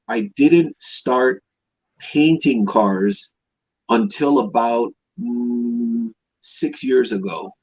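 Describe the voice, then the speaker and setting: A man's voice: mid-range voice, breathy edge, no room sound. A man in his fifties from Hawaii, telling personal stories casually as a podcast guest, in a rambling, conversational way. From Hawaii, United States. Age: 50s. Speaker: podcast guest, classic car restorer. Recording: dull, dry lo-fi connection.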